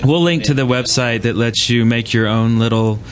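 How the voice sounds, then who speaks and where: A man's voice: normal speed, crowd noise. A man hosting a casual group podcast chat, recorded live at a convention. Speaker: podcast host. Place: convention room with live audience. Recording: rumbly live convention room, clear background noise.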